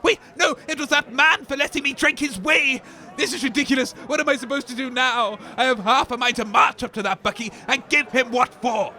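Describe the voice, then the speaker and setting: A man's voice: extremely high pitch, fairly even intonation, a little fast, pushed and bright, full character voice. A man playing a pompous, greedy, foul-mouthed radio commentator who blusters and rants in a comedy audio drama. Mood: indignant. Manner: blustering, ranting, insult-laden.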